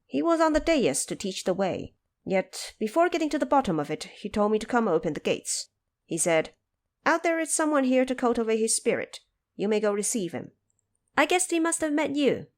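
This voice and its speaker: A woman playing a refined immortal youth, a disciple with a brisk, questioning manner, in an audio drama. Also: fairly high pitch, very animated, voice pushed up. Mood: curious, brisk.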